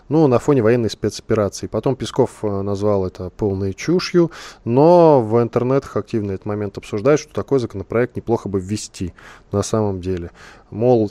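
Casting: male talk-show host, mellow dark tone, dry close sound. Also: lowish voice, no room sound. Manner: poses questions to guest, reads out news items.

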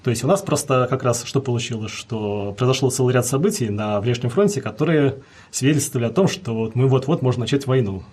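A man's voice: mid-range voice, quick pace, darkish tone, breathy edge. A man answering as a history guest, explaining at length in a lecturing way with many fillers.

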